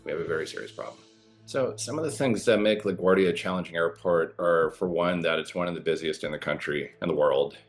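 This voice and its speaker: A middle-aged man, a former pilot, explaining airport safety in a measured way with pauses and fillers. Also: sits low, soft background music. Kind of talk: explanatory news interview commentary.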